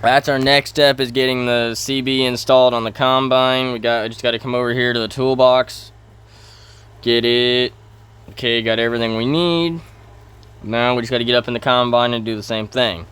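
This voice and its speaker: A man giving casual role-play gameplay commentary in a halting way, thinking aloud as he hosts. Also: mid-range voice, fairly even intonation, a bit bright, smooth. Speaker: gaming video host.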